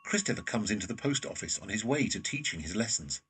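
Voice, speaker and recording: A man's voice: lowish voice, very animated, quick pace, distant mic, background noise. A man reading scripted narration of scene directions for an audio drama.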